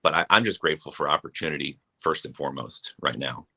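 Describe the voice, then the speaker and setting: Bright-voiced earnest man, low pitch. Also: fast talker. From Japan. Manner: earnest, restarts often. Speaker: guest. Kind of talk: casual chat. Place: remote live stream.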